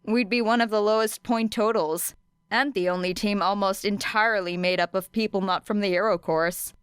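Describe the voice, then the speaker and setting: A woman's voice: mid-range voice, steady tone, normal speed, clean tone, no echo, clean studio sound, natural voice. A woman voicing a scrappy, determined teenage girl in an audiobook, casual and blunt in manner. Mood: persuasive.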